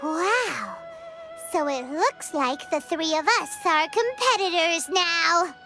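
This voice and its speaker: A woman voicing a tiny, excitable floating fairy companion in a video game, with a bubbly, squeaky voice. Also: slow pace, over music, exaggerated voice. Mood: excited.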